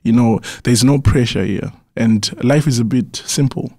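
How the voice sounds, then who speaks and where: A man's voice: medium pitch, moderate pace, a little mellow, no echo, studio quality. A young man from Zimbabwe, a musician guest on a radio interview, answering earnestly and often restarting his words. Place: radio studio.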